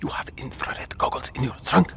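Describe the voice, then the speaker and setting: A man's voice: moderate pace, pushed and bright, lightly acted. A man playing an anxious companion in an audio drama, nervous, stammering and apologetic. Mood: nervous, doubting. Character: anxious, panicky male companion in a disaster. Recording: reverberant garage space, noisy background.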